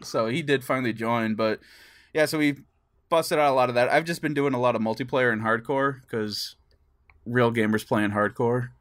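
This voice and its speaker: A man co-hosts a casual gaming podcast with a mid-range voice. He tells stories in an animated way, chuckling as he goes and acting out bits of dialogue. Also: medium pitch, normal speed. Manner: chuckles through stories, acts out quoted dialogue. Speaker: podcast co-host.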